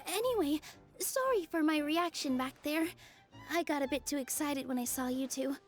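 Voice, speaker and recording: Sweetly polite forest fairy for a game, played by a woman: very high young voice, earnest and gentle. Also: normal speed, faint music behind, close to an everyday voice. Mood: grateful. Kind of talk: video game voice acting.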